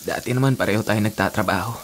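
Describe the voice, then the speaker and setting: Man acting a gentle, sickly Filipino younger brother. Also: on the low side, quick pace, a little hiss or hum, lightly acted. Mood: guilty, grateful. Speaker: radio drama actor. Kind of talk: Filipino radio drama acting. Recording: music under the voice.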